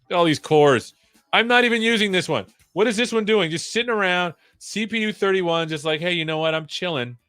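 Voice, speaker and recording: A man's voice: high-pitched, a little fast, fairly bright tone, clear, resonant tone, no echo, soft background music. A man hosting a casual livestream, rambling playfully with jokey asides. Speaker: live show host. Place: own room at home.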